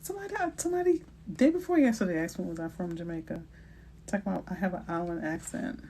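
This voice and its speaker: A woman's voice: fairly low pitch, animated, quick pace, mellow, a little hiss or hum. A middle-aged woman from New Orleans with a New Orleans accent, hosting a casual live Q&A as a realtor and chatting playfully with slang and asides. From New Orleans, Louisiana. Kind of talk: casual live-stream chat answering viewers.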